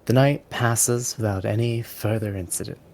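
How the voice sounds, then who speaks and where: A man's voice: steady tone, moderate pace, darkish tone. A man narrating a tabletop game as game master, in a storytelling style. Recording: faint background noise and rumble.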